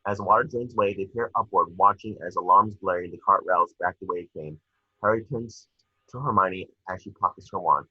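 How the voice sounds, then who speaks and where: A man's voice: sits low, fairly quick, slightly rough, no room sound, lo-fi sound. A man reading stage directions as narrator for a screenplay table read.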